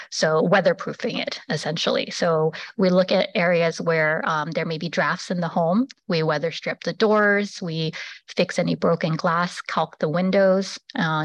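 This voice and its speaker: A woman presenting a public assistance program at a public meeting, pausing often with fillers and restarts. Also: lowish voice, no room sound. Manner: halting, many fillers and restarts.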